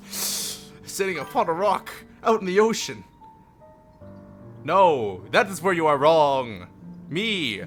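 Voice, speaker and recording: A man's voice: medium pitch, fairly bright tone. A man livestreaming a visual novel, reading aloud theatrically and breaking off for playful, jokey asides. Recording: music behind the voice. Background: faint music.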